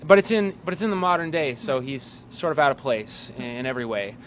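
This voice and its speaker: A man giving a casual interview as a guest, speaking in a hesitant, halting way with wry asides, outdoors in an alley. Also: mid-range voice, expressive, fairly bright tone, poor audio. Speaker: guest, actor and playwright. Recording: street noise, traffic outdoors.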